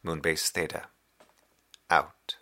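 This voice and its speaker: An actor playing a lonely, wistful man sending a broadcast from a moonbase in a slow audio drama monologue. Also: a bass voice, flat delivery, sharp tone, heavily acted. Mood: solemn, reciting.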